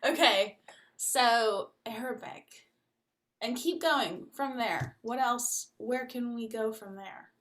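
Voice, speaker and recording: A woman hosts a casual podcast interview in an enthusiastic, gushing way, with lots of "like" and laughs. Her voice sits a bit high and she talks at an unhurried pace. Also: a bit bright. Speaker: podcast host.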